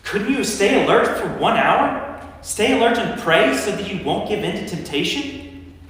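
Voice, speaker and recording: A man's voice: high voice, sing-song, moderate pace, fairly bright tone. A man playing an anguished yet resolute Jesus in a staged church reading of scripture, praying and rebuking. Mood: urgent, reproving. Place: church, recorded from a distance. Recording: very echoey church, distant mic, long reverb.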